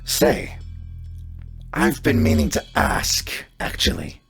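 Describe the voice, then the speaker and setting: A man voicing a gruff, sly cyborg gang boss with a gravelly growl, slow and falsely polite in a tabletop game. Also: a bit bright, faint music behind. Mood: slyly probing.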